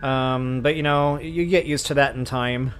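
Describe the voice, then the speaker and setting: A man's voice: medium pitch, steady tone, a little slow. A man giving casual live gameplay commentary as a walkthrough host, explaining things in a chatty, informal way. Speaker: game streamer and walkthrough host. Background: game music.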